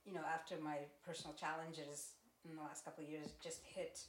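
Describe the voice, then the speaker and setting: A woman in her fifties from the Seychelles, a native French speaker, telling her life story as a podcast guest in a steady, matter-of-fact way. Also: low-pitched, a little fast, slight background noise, low-quality recording. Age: 50s. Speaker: interview guest. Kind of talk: casual interview chat.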